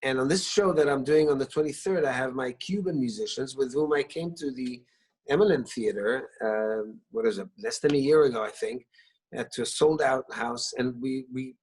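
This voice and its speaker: Man, from Israel, Hebrew native speaker, middle-aged, husky, hesitant storyteller, video call from home. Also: medium pitch, moderate pace, poor audio. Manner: hesitant, many restarts and fillers, warm storytelling. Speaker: singer-songwriter guest. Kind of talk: casual interview over a video call. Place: his living room at home.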